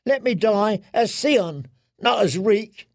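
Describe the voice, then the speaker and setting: A man's voice: high voice, animated, slow pace, no room sound, studio quality, pitched above the performer's own voice, full character voice. A man voicing a broken, haunted young man who pleads in a tearful, trembling way. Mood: pleading. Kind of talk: audiobook character voice.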